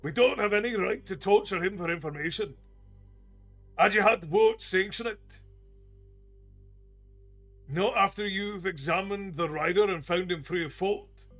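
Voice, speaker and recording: Guy doing a gruff hotheaded dwarf, loud and pushy, kinda high, faint music behind. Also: normal speed, long pauses. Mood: angry, insistent. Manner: loud, forceful, raised high. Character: gruff male dwarf warrior, blunt and hot-tempered.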